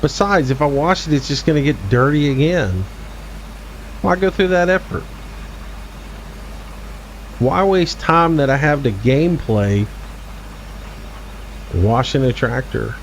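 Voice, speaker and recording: A man's voice: medium pitch, measured pace, pauses often, slight background noise, low-quality recording. A man in his forties giving casual, laid-back gameplay commentary as a streamer, chatting back to his viewers. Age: 40s. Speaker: game streamer.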